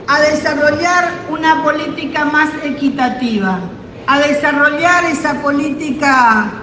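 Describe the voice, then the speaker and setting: A woman with an Argentine accent giving a measured political speech at a conference, repeating phrases for emphasis. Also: high-pitched, fairly bright tone. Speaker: parliamentarian speaking at a conference. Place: large conference hall. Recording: roomy echoing hall, faint noise.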